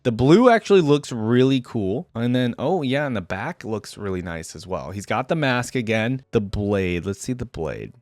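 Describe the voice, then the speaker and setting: Man, mid-pitched voice, casual reaction commentary, conversational, thinking aloud, dry close recording. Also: expressive, moderate pace, few pauses, a little mellow, dry recording. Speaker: reaction video host. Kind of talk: casual off-the-cuff reaction commentary on game skins.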